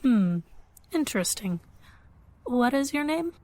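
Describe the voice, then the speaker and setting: An actress playing a self-assured, commanding high-born woman who speaks formally in an audio drama. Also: big pitch swings, slow pace, a little mellow, full character voice.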